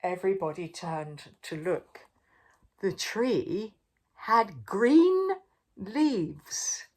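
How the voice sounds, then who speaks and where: An older woman reading a children's story aloud expressively, pausing for drama and putting questions to the listener. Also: mid-range voice, very animated. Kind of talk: animated read-aloud to young children.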